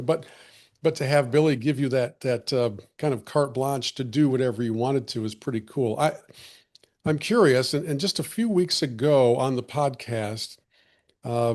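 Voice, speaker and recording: A man hosts an interview podcast, talking in a reflective, measured way. He builds long, flowing questions at an ordinary middle pitch. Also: darkish tone. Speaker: podcast host.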